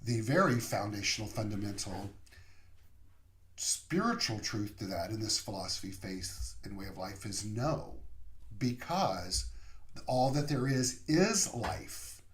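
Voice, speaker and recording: A man giving a slow, reflective sermon as a minister, pausing often between phrases. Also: on the low side, very animated. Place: room, via online service. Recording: roomy echo.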